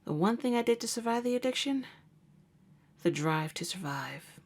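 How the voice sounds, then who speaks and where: A woman playing a jaded, wry vampire hunter and nurse who is secretly caring, in an intimate ASMR roleplay that drifts into breathy murmurs. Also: fairly high pitch, lively intonation, normal speed. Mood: haunted, subdued.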